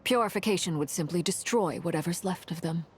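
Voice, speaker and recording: A woman playing a cool, commanding noblewoman in a video game, composed and measured, with a dry, understated manner. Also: fairly low pitch, a little hiss or hum, lightly acted. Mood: calm, dry. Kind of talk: dramatic video game voice acting.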